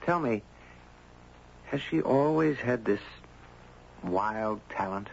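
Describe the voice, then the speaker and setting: Deep-voiced, courteous man in old radio drama. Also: lively intonation, slow, vocal fry, full character voice. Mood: puzzled, intrigued. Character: courteous, curious man, narrating his own strange tale. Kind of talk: 1970s radio drama narration and dialogue. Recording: muffled, noisy 1970s radio broadcast.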